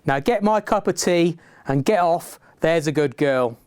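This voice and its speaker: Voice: medium pitch, expressive, unhurried, a little mellow, clear, resonant tone, dry recording, natural voice. A performer playing a brusque, condescending 1970s newspaper boss in an improvised community radio drama. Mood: irritated, dismissive. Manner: curt, bossy, condescending.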